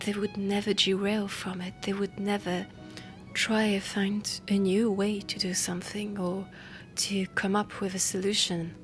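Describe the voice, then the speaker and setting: A woman playing a refined, ambitious heiress inventor in a steampunk audio roleplay, speaking softly and deliberately with a French accent, often close to a whisper. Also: mid-range voice, flat delivery, lightly acted. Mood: wistful, earnest. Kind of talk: soft intimate audio roleplay. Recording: music under the voice. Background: background music.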